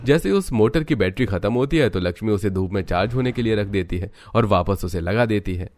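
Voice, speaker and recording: A man's voice: lowish voice, fairly quick, darkish tone, no echo. A man narrating a scripted cartoon family story.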